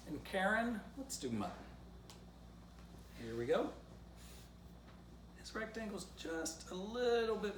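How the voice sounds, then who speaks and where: Man reading to kids, roomy distant recording. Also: high-pitched. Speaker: children's author reading and teaching drawing. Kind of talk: storybook read-aloud and casual drawing lesson. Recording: roomy, distant mic, faint background noise.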